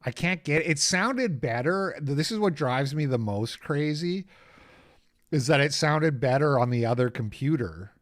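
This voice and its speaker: A man with a raspy voice hosting a casual livestream, rambling, sarcastic and quick to get irritated. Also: fairly high pitch, expressive. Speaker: host.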